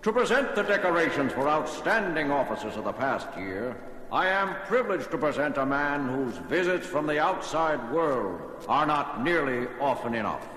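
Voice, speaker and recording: An actor playing a dignified commissioner giving a formal public address at a ceremony. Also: male voice, a little high, big pitch swings, moderate pace, fairly bright tone. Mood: formal, proud. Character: dignified male alien commissioner hosting a ceremony. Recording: very echoey large hall.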